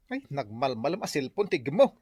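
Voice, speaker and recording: A man playing a whiny, slow-witted villager in an Ilocano comedy radio drama, with an Ilocano accent. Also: mid-range voice, very animated, darkish tone, no echo, studio quality. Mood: baffled.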